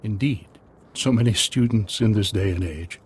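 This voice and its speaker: Husky old mastermind villain. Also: male voice, fairly low pitch, moderate pace. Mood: smug. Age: older. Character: old male terrorist master, calm, smug, menacing. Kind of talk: video game villain acting.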